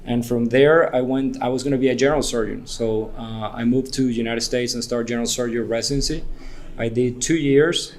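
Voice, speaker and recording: Neurosurgeon, relaxed explainer. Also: male voice, medium pitch, fairly quick. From Venezuela. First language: Spanish. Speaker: spine neurosurgeon guest. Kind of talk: conference interview.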